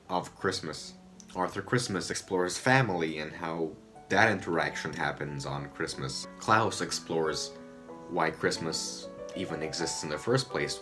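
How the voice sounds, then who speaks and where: A man from Romania, giving loose, rambling commentary on a TV episode from his home and swearing freely. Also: sits low. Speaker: video essayist. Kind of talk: casual review commentary. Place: home room, indoors.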